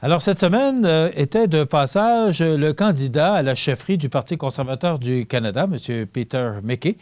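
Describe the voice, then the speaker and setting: A man's voice: a little high, animated, normal speed, darkish tone, dry recording. A man with a Quebec French accent introducing a TV segment casually, with a few fillers. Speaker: TV host.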